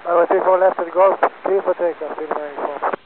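A man's voice: high-pitched, fairly even intonation, brisk. A man speaking as a pilot, making brief readbacks over aviation radio. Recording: noisy, tinny cockpit radio transmission.

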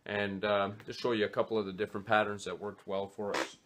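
Casting: man in his forties, lowish voice, relaxed, unscripted talk full of "uh" pauses, recorded close on a webcam in a small home office. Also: noisy background. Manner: hesitant, many "uh" fillers. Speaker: fly fishing vlogger. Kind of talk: casual gear talk to camera.

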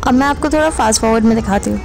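Young lady doing chatty travel vlog voiceover, kinda high voice, music playing behind. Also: rapid-fire. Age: young adult. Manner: chatty, addresses viewers with questions. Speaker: vlogger. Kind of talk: casual travel vlog narration. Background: background music.

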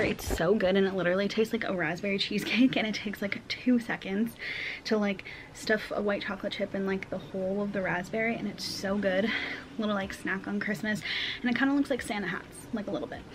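A woman's voice: medium pitch, background noise. A woman in her twenties chatting casually to the camera in her bedroom, upbeat and full of "like" and "um". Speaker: vlogger. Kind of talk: casual vlog chat to camera. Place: small bedroom at home, indoors.

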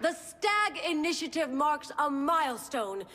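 A stern official giving a speech. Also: female voice, sits high, very animated, measured pace, sharp tone, heavily acted. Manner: formal, speechmaking. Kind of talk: video game cutscene. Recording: reverberant hall, noisy.

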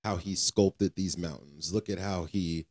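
Low-voiced man, informal and emphatic. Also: steady tone, unhurried, darkish tone. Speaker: podcast co-host. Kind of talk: casual faith conversation.

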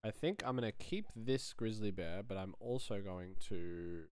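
A man's voice: on the low side, moderate pace, noisy background. A man in his thirties giving a casual demonstration as a sound designer, thinking aloud at a close mic. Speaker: sound designer, tutorial host.

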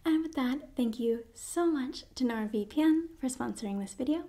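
A woman in her twenties whispering close to the microphone in a slow, hushed ASMR style, softly repeating words. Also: high voice, expressive. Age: 20s. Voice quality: whispery, breathy. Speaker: ASMR host. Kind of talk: ASMR role-play and sponsor read.

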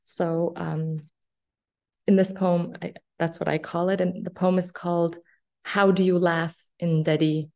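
A woman in her thirties, born in Afghanistan with Farsi as her first language, reads poetry in a measured, deliberate way over a video call from a small office. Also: lowish voice, level tone, vocal fry, mellow. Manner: measured, deliberate recitation with long pauses. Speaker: poet. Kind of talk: slow poetry reading. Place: small office room indoors. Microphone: laptop webcam video call.

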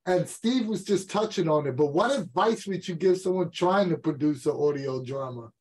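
High-voiced male interviewer. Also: animated, moderate pace, darkish tone. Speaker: interviewer, show host. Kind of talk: conversational interviewing. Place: indoor room, video call.

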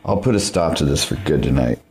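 A man with an American accent playing a gruff, hot-tempered, overworked farmer in a radio play, with folksy rural speech. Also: rumbling low, animated, a little fast, a little mellow. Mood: brusque, stubborn.

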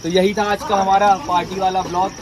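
A man's voice: high-pitched, flat delivery, brisk, a crowd in the background. A young man hosting a casual vlog at a night pool party, joking with friends and laughing often. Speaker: vlogger.

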